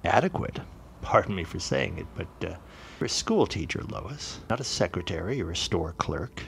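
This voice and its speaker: A man playing an earnest, courteous but suspicious newspaperman in a period audio drama, speaking deliberately. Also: sits low, lively intonation, gravelly, a bit bright, slight background noise, heavily acted. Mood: courteous, probing.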